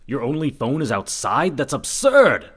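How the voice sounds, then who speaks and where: A man's voice: medium pitch, wildly swooping pitch, a little slow, a bit bright, husky, full character voice. A man playing an earnest, overconfident and slightly dim reporter detective in a comic radio mystery, delivered dramatically. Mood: urgent. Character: earnest, dim, self-assured young male reporter detective. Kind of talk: comedic old-time radio mystery acting.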